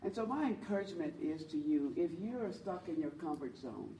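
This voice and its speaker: A woman with a low voice leading a church teaching on worship, talking earnestly and encouraging her listeners to join in. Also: big pitch swings, normal speed, mellow, slight background noise. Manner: earnest, encouraging, speaks to the audience and invites replies. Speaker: worship leader teaching a group. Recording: roomy sound from a distant mic, faint ambience.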